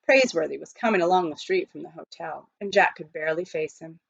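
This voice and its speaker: A woman reading a story aloud as scripted, expressive storybook narration, in slightly muffled audio. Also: fairly low pitch, fast talker, vocal fry, slightly rough, dry recording. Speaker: audiobook reader.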